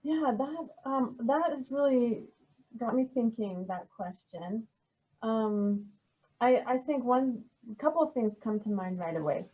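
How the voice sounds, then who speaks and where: A middle-aged woman, a history professor, answering interview questions in a soft, hesitant way with many pauses and restarts. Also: a little high, animated, low-quality recording.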